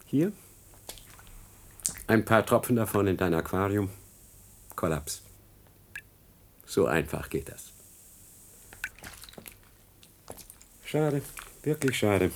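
An actor playing a cynical, gloating murderous scientist with a mocking, taunting delivery in a German radio play. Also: male voice, lowish voice, very animated, a little slow, pauses often, a little mellow, a little hiss or hum.

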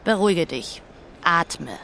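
A woman's voice: fairly low pitch, expressive, slow pace, vocal fry, bright, forceful tone, slight background noise, full character voice. An actress playing a pragmatic, unsentimental businesswoman in an audio drama, blunt and matter-of-fact. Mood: exasperated. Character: pragmatic, unsentimental, debt-ridden businesswoman scheming. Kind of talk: audio drama dialogue acting.

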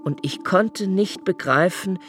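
A man's voice: sits high, slow. An actor playing a lonely young male poet, narrating a radio play with an earnest, intense reading over faint music. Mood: reflective.

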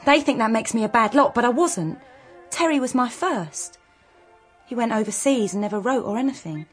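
A woman acts a young widow in a radio drama, wary and defensive. She is plain-spoken, with a very mobile pitch. Faint music plays behind her. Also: expressive, moderate pace, lightly acted. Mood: defensive, wistful. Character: defensive young widow, former cafe waitress.

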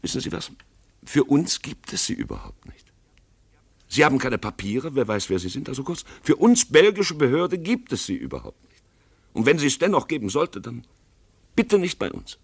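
An actor playing a brusque, dismissive police commissioner in a German radio play, curt and officious, with a gravelly, husky voice. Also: male voice, medium pitch, huge pitch swings, normal speed, heavily acted. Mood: scornful.

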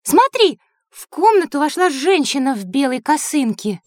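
Любопытного ребёнка, очень высокий голос. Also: female voice, high voice, sing-song, moderate pace, dry recording, clean studio sound. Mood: curious. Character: curious, observant, excitable young child. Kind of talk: Russian children's audio drama.

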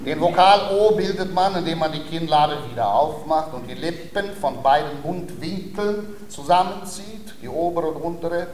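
An actor in a comic radio play, playing a patient, self-important language tutor who speaks in a precise, didactic and formal way. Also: male voice, on the high side, normal speed, few pauses, darkish tone, roomy sound, natural voice. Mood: patient instruction. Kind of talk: theatrical classic-comedy radio acting.

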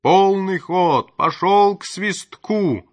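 A man voicing an eccentric forester of seventy, calling out loudly in drawn-out commands for a storybook. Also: high-pitched, pitched above the performer's own voice. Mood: frantic shouting. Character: eccentric seventy-year-old forester shouting railway commands.

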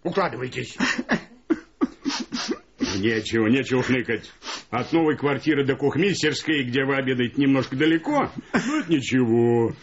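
A man playing a domineering, grumpy double bass personified, scolding brusquely in a radio play. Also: expressive, normal speed, pauses often, highs cut off, a little hiss or hum, lightly acted. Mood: brusque, bossy.

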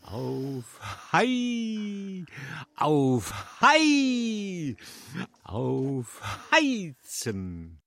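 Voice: mid-range voice, wildly swooping pitch, drawn-out words, creaky, fairly bright tone, no room sound, heavily acted. A performer in an experimental radio play reciting in fragments and stuttered syllables. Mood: halting. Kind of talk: avant-garde radio play sound poetry.

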